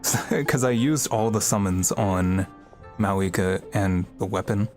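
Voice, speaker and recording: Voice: sits low, slow. A game livestreamer giving casual, rambling, conversational commentary over a game. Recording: game audio and music behind. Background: game dialogue and music.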